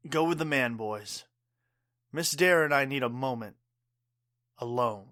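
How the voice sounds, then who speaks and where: A man acting a wounded, bitter father who is secretly a robot-building villain in a pulp radio drama, speaking haltingly and melodramatically. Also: mid-range voice, fairly bright tone, no room sound, clean studio sound, close to an everyday voice. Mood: tense, bitter.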